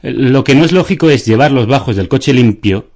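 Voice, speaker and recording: An actor playing a deadpan, pedantic philosopher of the absurd in a radio theatre dialogue. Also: male voice, mid-range voice, moderate pace. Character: deadpan absurd philosopher musing over news headlines.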